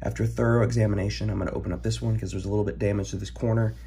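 A hoarse young man, recovering from an illness, chatting casually as he opens trading card packs at his desk, rambling with ums and throat clearing. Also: on the low side, level tone, rapid-fire, warm tone. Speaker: card-collecting channel host. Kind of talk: casual pack-opening chat. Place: home room, filmed over a desk.

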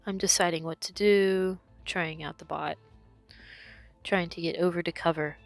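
A woman who is sick and losing her voice, explaining her moves as she commentates her own gameplay. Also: low-pitched. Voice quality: hoarse. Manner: explanatory, talks through her decisions.

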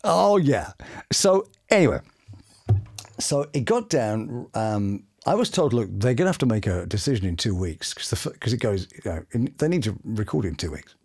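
A man's voice: fairly low pitch, moderate pace. A middle-aged British man telling anecdotes expressively as a voice actor in a casual interview. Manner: expressive, dramatic storytelling. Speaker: voice actor guest. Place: small home studio, indoors.

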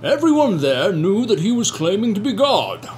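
A man's voice: high voice, sing-song, moderate pace, a little mellow, slight background noise. A man doing an earnest rabbi witness. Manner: earnest, explaining.

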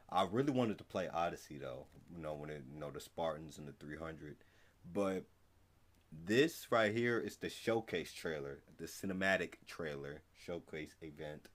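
Young adult man, American accent, deep-pitched voice, casual reaction commentary, video host. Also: animated, normal speed. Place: small room at home, indoors.

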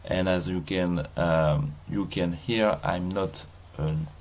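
A man in his thirties with a strong French accent, vlogging outdoors in a halting way with many fillers and restarts. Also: low-pitched, fairly even intonation, a little hiss or hum. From France. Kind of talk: casual walking vlog. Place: outdoors in a seaside mountain town.

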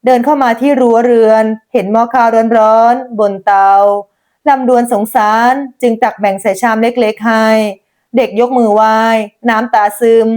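A woman's voice: a little high, steady tone, moderate pace, a little mellow. A woman storyteller reading a scripted folk tale narration.

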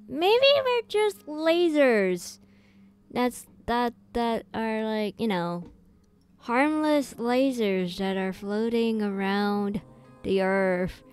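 A woman's voice: fairly high pitch, darkish tone, smooth. A woman streaming a game live, chatting casually with her viewers in a rambling, giggly way with many fillers.

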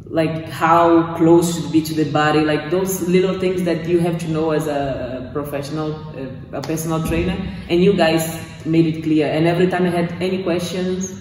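A woman's voice: on the low side, darkish tone, recorded from a distance, a little hiss or hum. A young woman from Brazil with a Brazilian Portuguese accent, speaking English as a second language, giving a casual testimonial and often restarting her sentences as she searches for words. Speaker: course graduate giving a testimonial. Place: small gym room, indoors, in front of weight racks. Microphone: far camera. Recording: echoey room from off-mic.

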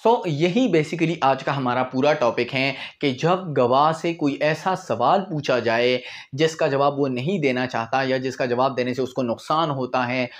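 A man's voice: medium pitch, measured pace. A man in his twenties with a Pakistani accent, giving an informal, conversational law lecture sprinkled with English terms. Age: 20s. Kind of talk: informal lecture with acted-out examples. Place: small indoor room in front of a whiteboard.

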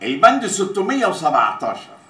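An actor playing a pompous, demanding Egyptian TV employee in a comedy series, with an officious, declamatory manner. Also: male voice, sits high, wildly swooping pitch, fairly quick, bright, forceful tone, exaggerated voice. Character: pompous, demanding TV employee dictating absurd contract clauses. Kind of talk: Arabic comedy series acting. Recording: echoey room with faint background noise.

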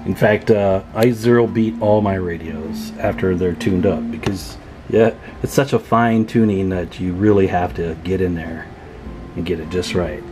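A man's voice: low voice, steady tone, moderate pace, music in the background. A man hosting a casual hands-on tutorial as a radio hobbyist, explaining informally step by step at an indoor workbench. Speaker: radio repair hobbyist, video host.

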